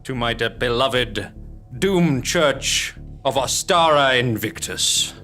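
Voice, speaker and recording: A devoted yet ominous cult leader in a comic radio play. He speaks with theatrical ceremony in a medium-pitched voice, with music clearly underneath. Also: expressive, slow pace. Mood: grateful.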